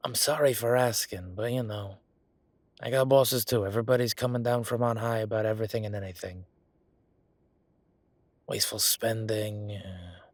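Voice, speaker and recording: A man's voice: lowish voice, no room sound. A man playing a defensive, chatty government records clerk in an audio roleplay, talking in a rambling, colloquial way. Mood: defensive, put-upon. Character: male records hall clerk, defensive, chatty, lazy bureaucrat. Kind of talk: solo audio roleplay, conversational acting.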